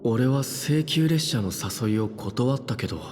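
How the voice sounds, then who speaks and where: A man's voice: medium pitch, animated, a little fast, a little mellow, close to an everyday voice. An actor playing a pensive, doubting man in a game, speaking a subdued, wistful inner monologue. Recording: long reverb, some background noise.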